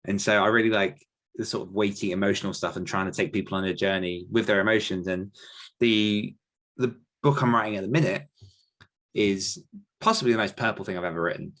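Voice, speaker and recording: A man with a fairly low voice talks in a relaxed interview setting. He is reflective about his craft, stopping and restarting as he feels his way toward the right phrase. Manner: thoughtful, halting, searching for words. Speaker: podcast guest author answering questions. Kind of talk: casual reflective interview talk.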